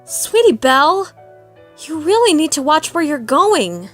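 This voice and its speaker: A woman's voice: sits high, big pitch swings, unhurried. A woman voicing a worried, kind young female unicorn pony in an amateur fan fiction audio drama. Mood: scolding. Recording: roomy echo with reverb, music behind.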